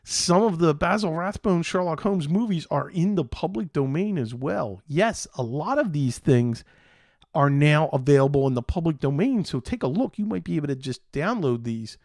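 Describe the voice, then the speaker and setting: A middle-aged man hosting a tech show, chatting casually to viewers in a rambling, halting, enthusiastic way. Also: fairly high pitch, lively intonation, creaky, darkish tone, no echo, clean studio sound. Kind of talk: casual solo chat to viewers.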